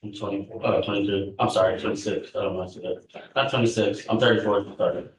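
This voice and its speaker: A man's voice: on the low side, fairly even intonation, fast, a little hiss or hum. A man in his thirties standing as a defendant in court, answering nervously and stumbling over his words. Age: 30s. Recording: echoey courtroom, distant mic.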